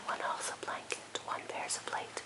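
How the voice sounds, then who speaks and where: A woman whispering a poem for ASMR in a breathy, unvoiced voice, reciting gently and deliberately. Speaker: ASMR host. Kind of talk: ASMR whispered poetry reading.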